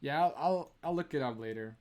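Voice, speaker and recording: A young man from Romania hosts a casual live stream, answering viewers' comments as they come in. He chats loosely, scatters "like" through his sentences and breaks into laughter often. His voice sits at an ordinary middle pitch for a man. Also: a little fast, darkish tone. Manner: chatty, laughs often, many "like" fillers.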